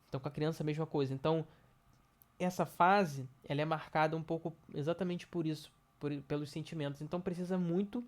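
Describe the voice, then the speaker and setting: Brazilian educator, man, stuffy nose from a cold, relaxed explaining to parents. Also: fairly high pitch. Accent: Brazilian Portuguese. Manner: conversational, many fillers and restarts. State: has a cold, nasal voice. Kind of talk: casual educational talk to parents.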